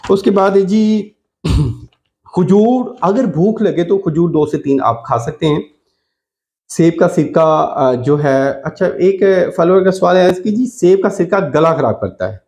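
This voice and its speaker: A Pakistani-accented man speaks in a slightly high register, like a doctor advising followers directly. His delivery is informal and instructive, and he repeats important points for emphasis. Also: lively intonation, unhurried, darkish tone. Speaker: doctor giving diet advice. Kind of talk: informal instructional health talk to camera.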